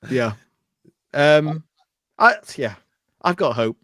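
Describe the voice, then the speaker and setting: A man's voice: a little high, pushed and bright, dry recording, studio quality. A man from Anglesey with a Welsh accent, Welsh his first language, chatting as a podcast guest in a rambling, self-deprecating way full of filler words. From Wales (Anglesey). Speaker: guest, pedal maker. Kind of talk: casual podcast chat.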